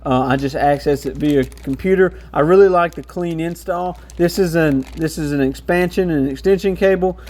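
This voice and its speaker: A man's voice: on the high side, normal speed, hardly any pauses, a little mellow. A man giving a casual, hands-on product walkthrough in an informal, explanatory way. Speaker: radio shop reviewer.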